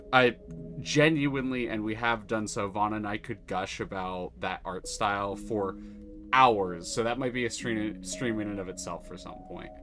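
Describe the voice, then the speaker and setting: A man streaming a game, chatting casually and explaining the mechanics with enthusiasm and many restarts. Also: fairly low pitch. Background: music.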